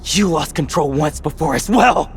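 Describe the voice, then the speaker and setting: A woman voicing a proud, anguished young pupil of a knight in a game, intense and halting. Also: low voice, animated, slight background noise. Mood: defiant. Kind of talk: dramatic video game voice acting. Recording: music under the voice.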